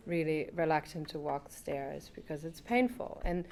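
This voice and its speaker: Earnest low-voiced woman guest. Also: expressive, moderate pace, vocal fry, a little mellow. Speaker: guest, pet supplement founder. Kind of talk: casual interview chat.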